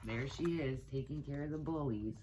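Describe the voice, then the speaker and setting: A middle-aged woman reading a children's picture book aloud, pausing for asides to her young listeners. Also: very deep voice, dark tone, a little hiss or hum, poor audio. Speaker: children's story time reader, teaching assistant. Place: small cluttered room indoors.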